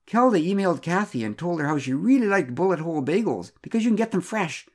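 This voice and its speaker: A man voicing an excitable, defensive expectant father explaining himself in a children's story. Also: mid-range voice, fast, slightly rough. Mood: flustered protest.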